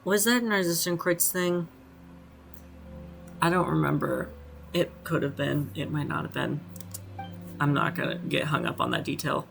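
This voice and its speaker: A woman's voice: low voice, normal speed, gravelly, long pauses, soft background music. A woman giving casual commentary as she plays through a video game. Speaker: game streamer.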